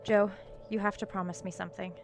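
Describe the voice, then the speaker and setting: A steady young college student played by an actress talks in a dry, earnest way in a radio drama. Her voice sits at a middle pitch, and music plays clearly behind her. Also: fast talker, close to an everyday voice. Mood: guarded, earnest. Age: young adult. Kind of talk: audio drama acting.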